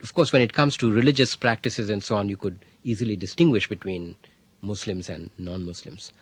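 A middle-aged man, a history professor telling an anecdote in an interview, thoughtful, with many fillers and pauses. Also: fairly low pitch, husky, dry recording. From India. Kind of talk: interview, reflective storytelling.

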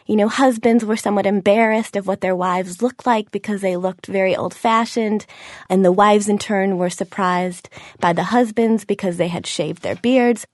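Calm lady narrating a documentary, dry close mic. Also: mid-range voice, normal speed, no room sound. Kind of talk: scripted narration.

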